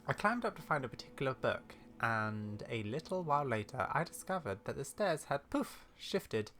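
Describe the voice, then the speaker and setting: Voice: mid-range voice, very animated, moderate pace, fairly bright tone, soft background music. A performer voicing a kindly, unflappable little old grandmother who talks in fussy, folksy endearments. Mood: chatty, rueful.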